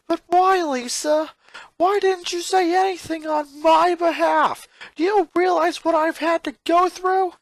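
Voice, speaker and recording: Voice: very high-pitched, fairly even intonation, a little slow, dry recording, pitched above the performer's own voice. A performer voicing an exasperated eleven-year-old boy from a cartoon fan story, with an indignant, pleading and emphatic delivery. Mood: frustrated, pleading.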